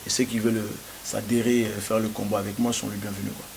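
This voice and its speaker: A man's voice: lowish voice, little pitch movement, creaky, darkish tone, slight background noise. A young man born in France, answering interview questions with frequent hesitations and restarts. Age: young adult. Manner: halting, many hesitations and restarts.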